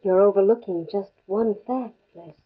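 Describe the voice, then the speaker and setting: A woman's voice: mid-range voice, normal speed, breathy edge, lightly acted. An actress playing a soothing, grave woman at a bedside in a 1950s radio drama. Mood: pointed, ominous. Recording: dull, lo-fi old radio recording.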